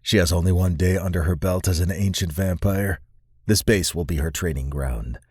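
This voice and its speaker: A man's voice: sits low, normal speed, a little mellow, breathy edge, no echo, studio quality. A man playing a confident vampire master in an audiobook, speaking in a casual, familiar and wry way. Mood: amused, familiar.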